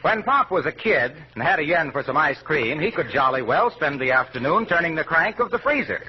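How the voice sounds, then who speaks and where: High-pitched, lively American 1940s radio announcer, male. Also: a little fast, over a noisy crowd, heavy background noise. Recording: muffled 1940s radio broadcast.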